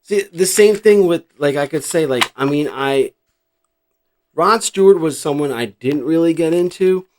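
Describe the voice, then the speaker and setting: A man's voice: fairly high pitch, animated, a little slow, darkish tone, a little hiss or hum. A middle-aged American man from New Jersey talking casually and reflectively as a vlog host, rambling and thinking aloud without a script. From New Jersey, United States. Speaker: host showing a cassette collection. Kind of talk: casual unscripted vlog chat.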